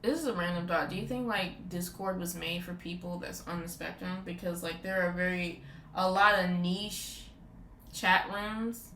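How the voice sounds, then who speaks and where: Chatty woman host, bright voice, lots of like fillers, echoey room w faint hiss. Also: lowish voice, moderate pace. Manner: rambling, many "like" fillers. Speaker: podcast host. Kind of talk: casual chat. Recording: roomy echo with faint background noise.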